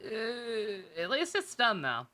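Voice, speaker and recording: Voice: mid-range voice, huge pitch swings, slow pace, bright, forceful tone. A tired livestreamer chatting casually to viewers, rambling and thinking aloud with frequent swearing. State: tired, short on sleep. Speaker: game livestreamer.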